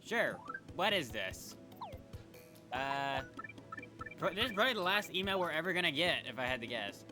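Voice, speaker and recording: A man's voice: on the high side, big pitch swings, moderate pace. A man casually commentating his gameplay in a chatty, rambling way, often correcting himself mid-sentence. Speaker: let's play gamer. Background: game music.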